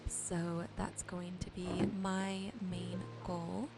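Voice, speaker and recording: A woman gently narrating her gameplay as a sleep ASMR host, soothing and unhurried. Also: on the low side, level tone. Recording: music behind the voice. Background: soft music, game rain and animal sounds.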